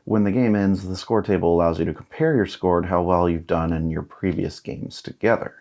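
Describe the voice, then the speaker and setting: A man in his thirties with a deep, low voice. He talks casually as an unboxing host, musing aloud in a laid-back way and sometimes reading from what is in front of him. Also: steady tone, fairly quick, darkish tone. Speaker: board game unboxing host.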